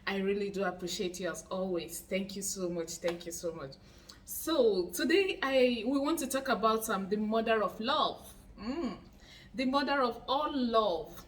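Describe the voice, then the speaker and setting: A woman's voice: medium pitch, unhurried, recorded from a distance, slight background noise, low-quality recording. A Nigerian woman hosting a casual vlog, chatty and playful, saying most phrases twice. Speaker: YouTube channel host. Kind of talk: casual spiritual how-to vlog.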